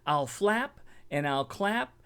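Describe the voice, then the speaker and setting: A man performs a greedy old storybook eagle, menacing and loud. The voice is pitched high and squawking, swooping through chanted threats with lively swings. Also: slow pace, clear, resonant tone, heavily acted. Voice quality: screechy, squawking.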